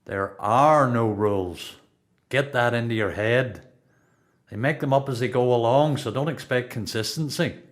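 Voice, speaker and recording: A blunt, seasoned male prisoner , played by a man in a radio play. He has a Belfast accent and an average-pitched voice, handing out advice in short, instructive phrases. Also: a little slow, lightly acted. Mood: blunt, cynical. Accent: Belfast Northern Irish.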